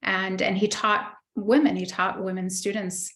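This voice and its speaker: A middle-aged woman born in Egypt, a guest in an interview, telling her life story thoughtfully with many pauses and restarts. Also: mid-range voice, level tone. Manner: thoughtful, many fillers and restarts, warm recollection. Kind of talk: reflective personal storytelling.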